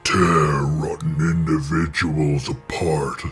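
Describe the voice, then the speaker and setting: A man voicing a huge, menacing, ancient man-eating monster in an animated fantasy parody, speaking in grandiose archaic phrases. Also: rumbling low, faint music behind, full character voice. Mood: menacing, hungry. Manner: slow, archaic, grandiose speech.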